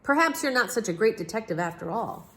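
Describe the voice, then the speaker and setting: Excitable worried male king for a picture book, performed by a woman, animated pitch, earnest and exclaiming. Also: fairly quick. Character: anxious, excitable male king who lost his elephant.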